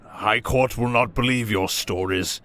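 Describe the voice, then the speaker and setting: A man's voice: medium pitch, unhurried, bright, forceful tone, dry recording. A man playing a bitter old tyrant king and sorcerer in an audio drama, speaking with menacing, theatrical scorn. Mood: scornful. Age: older. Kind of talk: dramatic audio roleplay acting.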